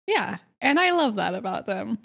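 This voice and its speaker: A woman's voice: a little high, sing-song, moderate pace, vocal fry, a bit bright, husky, dull audio. Chatty, giggly woman podcaster. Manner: chatty, giggly, dramatic storytelling. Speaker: podcast co-host presenting lore research. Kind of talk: casual podcast chat.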